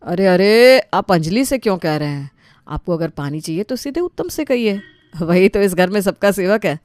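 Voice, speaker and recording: A smug older brother, acted by a man, teases and taunts with drawn-out sarcasm. The family comedy story voice is clean and smooth, in a dry recording. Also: sits high, big pitch swings, no echo. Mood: mocking.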